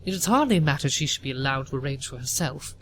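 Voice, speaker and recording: A man's voice: medium pitch, animated, quick pace, a bit bright, slight background noise, lightly acted. A man playing a haughty, pompous older upper-class mother in a theatrical audio drama, questioning and disapproving. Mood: stern, indignant.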